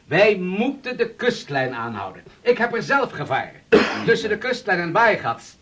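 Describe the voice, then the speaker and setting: An actor in a Dutch radio drama playing a learned, insistent seafarer who speaks formally and persuasively. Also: male voice, high-pitched, sing-song, normal speed, fairly bright tone. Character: insistent, learned sixteenth-century seafarer and explorer.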